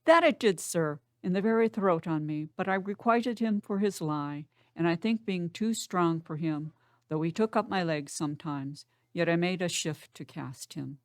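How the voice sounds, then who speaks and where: A woman's voice: on the low side, normal speed, dry recording, natural voice. A woman playing a rambling, hungover male porter in a dramatic Shakespeare reading, bawdy and sardonic in a theatrical comic manner. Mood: bawdy, jesting. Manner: theatrical, muttering comic monologue.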